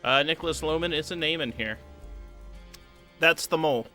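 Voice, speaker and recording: A man's voice: on the high side, moderate pace, sharp tone. A man preparing his tabletop campaign on a stream, thinking aloud and reading long rulebook passages, now and then humming to himself. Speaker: game master prepping a campaign. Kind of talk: casual solo prep stream, reading rules aloud. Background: background music.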